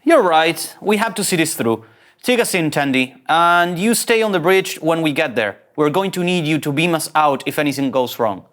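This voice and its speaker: A man's voice: a little high, lively intonation, moderate pace, fairly bright tone. A man at a table read playing an anxious, self-dramatizing young starship captain, hesitant and fretful. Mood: resolute but hesitant.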